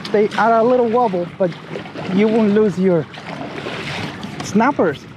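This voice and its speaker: A man's voice: high-pitched, unhurried. A man hosting an outdoor vlog, enthusiastically demonstrating a paddleboard kayak and calling out his speed as he paddles. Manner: enthusiastic, calls out speeds aloud. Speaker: vlogger reviewing a paddleboard kayak. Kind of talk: casual outdoor demo vlog. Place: outdoors on shallow coastal water. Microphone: action camera on board and shore. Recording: outdoor wind and water noise.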